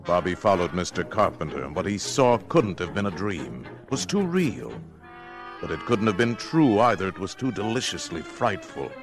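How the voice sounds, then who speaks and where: A man's voice: low-pitched, very animated, moderate pace. An actor as the narrator of a radio drama, a man telling the story in a theatrical, florid, suspenseful way. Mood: wry, suspenseful. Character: dramatic storyteller, ominous and wryly relishing. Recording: music under the narration. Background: dramatic music.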